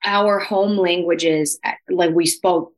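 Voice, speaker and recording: A woman from the United States co-hosting a podcast, telling stories lively and mixing in Spanish words. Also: takes it slowly, low-quality recording. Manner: lively storyteller, slips into Spanish, pitch leaps when quoting. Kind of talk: casual chat.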